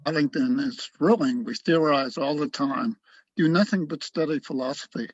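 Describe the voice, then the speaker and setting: A man's voice: a little high, normal speed, no echo, lo-fi sound, lightly acted. A man acting in a radio play as a cocky, awkward young philosophy student, emphatic and argumentative. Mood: enthralled.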